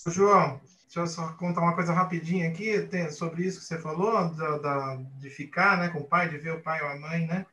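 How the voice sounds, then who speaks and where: Numa chamada de vídeo, um homem de voz aguda e brilhante narra uma história com entusiasmo e risadinhas. A captação soa reverberante, como num cômodo que ecoa. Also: male voice, a little high, a little fast. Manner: animated storyteller, chuckling, many restarts. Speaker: high school teacher on a live panel. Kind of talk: casual anecdote telling. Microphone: video call.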